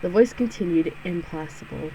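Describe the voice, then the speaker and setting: A woman's voice: on the low side, moderate pace, dark tone, a little hiss or hum, low-quality recording. A woman hosting a let's play, reading a visual novel aloud with sarcastic, giggly asides and free swearing. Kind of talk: casual, joking read-through with asides.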